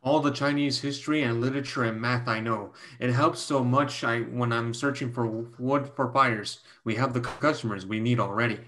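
A man playing a grumbling, loyal young deaf Chinese mathematician, reading haltingly in a staged play reading. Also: medium pitch, fairly even intonation, a bit bright, close to an everyday voice. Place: home room, video call.

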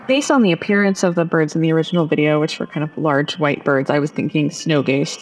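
A woman's voice: deep voice, quick pace, faint music behind, low-quality recording. A woman in her thirties, a professor, talking through her reasoning conversationally on a video call. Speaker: biology professor, game show contestant. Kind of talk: thoughtful conversational explanation. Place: indoor room, video call.

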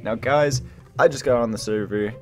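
A young man hosting a gaming video with casual, chatty, rambling commentary that gets excitable. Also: mid-range voice, normal speed. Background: background music.

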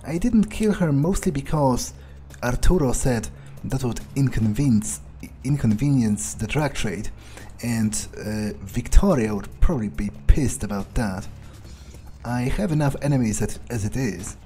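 Guy roleplaying a video game, kinda muttering his thoughts, dark mellow voice, bit of music under it. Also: mid-range voice, expressive, unhurried. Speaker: player of a video game playthrough, speaking in character. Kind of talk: in-character roleplay gameplay narration, thinking aloud.

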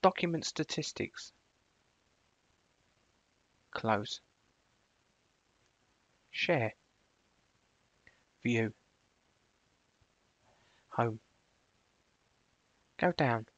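A man presenting a screencast software tutorial, switching between casual explanation and clipped spoken commands. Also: medium pitch, animated, drawn-out words, pauses often, dry recording, muffled recording.

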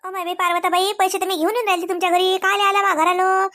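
A woman's voice: very high voice, little pitch movement, fast, fairly bright tone. A woman voicing an anxious village debtor in a comedy cartoon, pleading plaintively and repetitively with a Vidarbha Marathi accent. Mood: aggrieved. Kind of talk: comedy cartoon dialogue in rural dialect.

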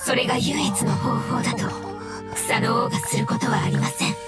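Hushed, solemn nature creature played by a woman. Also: fairly high pitch, steady tone, moderate pace, loud music behind the voice, close to an everyday voice. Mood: solemn, resolved. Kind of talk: Japanese anime game acting.

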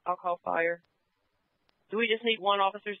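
A man's voice: high-pitched, steady tone, normal speed, no echo, narrow, tinny audio. A man working as a police radio dispatcher, speaking in terse, clipped procedural phrases over a radio. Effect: police radio transmission. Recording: band-limited police radio audio.

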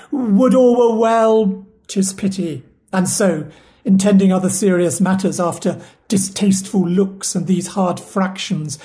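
A woman playing a loyal, sorrowful household steward in a Shakespeare audio play, speaking the verse in an earnest, measured way. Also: mid-range voice, mellow, lightly acted.

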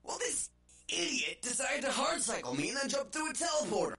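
Woman acting a bright, irritated machinima AI. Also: sits high, sing-song, brisk, faint music behind, full character voice. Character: irritated female artificial intelligence in a machinima. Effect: doubled, synthy computer-like filter.

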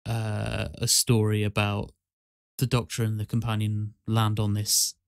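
A man hosting a casual podcast, explaining things in a halting, conversational way. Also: fairly low pitch, monotone, creaky, clean tone, dry recording, studio quality. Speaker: podcast host and amateur voice actor.

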